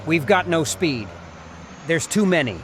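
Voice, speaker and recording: A man playing a steady, practical army sergeant in a horror audio comic. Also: on the high side, measured pace. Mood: firm. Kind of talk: dramatic audio comic acting. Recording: noisy vehicle sound effects behind.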